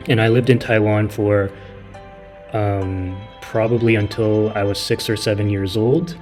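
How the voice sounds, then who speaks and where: A man's voice: fairly low pitch, steady tone, a little slow, darkish tone, faint music behind. A man streaming a game and chatting casually with his viewers, rambling with many restarts. He is from California, and his first language is Mandarin. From California, near San Francisco. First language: Mandarin Chinese. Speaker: gaming streamer. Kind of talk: casual live-stream chat, reading chat.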